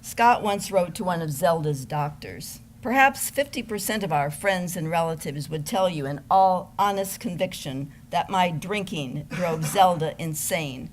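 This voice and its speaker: A woman delivering scripted narration as a presenter. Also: deep voice. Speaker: narrator of a readers theater. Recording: background noise, live room ambience.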